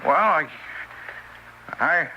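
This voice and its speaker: A timid male ghost in an old radio play, high and sharp in voice. He stammers and apologizes in folksy, hesitant phrases, on a muffled recording. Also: lively intonation, extremely slow, slight background noise, full character voice. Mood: anxious, pleading. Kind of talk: 1970s radio drama acting. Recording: muffled vintage radio broadcast.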